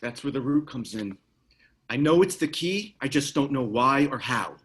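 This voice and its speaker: A man playing an earnest, uneasy researcher of dark lore in a screenplay table read, explaining things hesitantly. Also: medium pitch, animated, moderate pace, lightly acted. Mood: grave, explaining.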